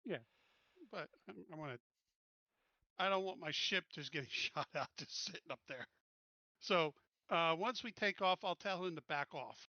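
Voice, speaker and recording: High, bright-voiced man chatting casually. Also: normal speed, dry recording, lo-fi sound. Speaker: player in a tabletop roleplaying game. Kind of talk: casual game chat.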